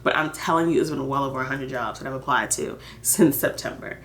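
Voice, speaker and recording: A woman's voice: a bass voice, a little fast, a bit bright, a little hiss or hum, low-quality recording. A thirty-year-old American woman chatting casually and candidly in a personal vlog, telling her story with emphatic, conversational phrasing. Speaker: vlogger. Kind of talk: casual personal catch-up chat.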